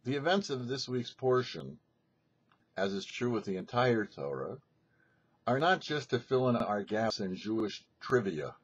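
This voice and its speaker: A male rabbi delivers a scripted sermon with a lively, swinging pitch and a husky edge to his voice. He is emphatic and instructive, setting out each phrase deliberately. The recording sounds somewhat dull and lo-fi. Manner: emphatic, didactic, rhetorical questions and deliberate phrasing. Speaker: rabbi, host of a weekly Torah show. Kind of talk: scripted religious teaching, sermon.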